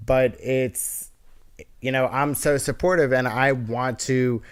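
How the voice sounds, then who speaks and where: A man's voice: mid-range voice, fairly even intonation, takes it slowly, clear, resonant tone. A man with a nasal voice, a guest on a casual podcast, talking earnestly in a rambling way with many restarts. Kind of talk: casual interview chat.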